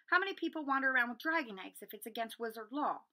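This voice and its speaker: Woman acting urgent young wizard boy, insistent, earnest, bright high voice, swooping pitch, storybook read-aloud. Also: animated, fast. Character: anxious, urgent schoolboy wizard.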